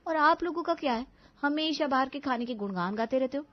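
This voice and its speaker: Casting: woman playing young cartoon daughter-in-law, very high voice, exasperated, sarcastic, sharp-tongued; slightly muffled recording. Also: expressive, fairly quick. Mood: indignant. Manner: complaining, emphatic, sarcastic. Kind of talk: animated family story acting.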